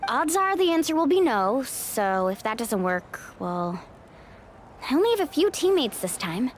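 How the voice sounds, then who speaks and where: A woman's voice: high-pitched, very animated, moderate pace, a little hiss or hum. A woman voicing a confident, upbeat, teasing young warrior in a video game. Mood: teasing, persuasive.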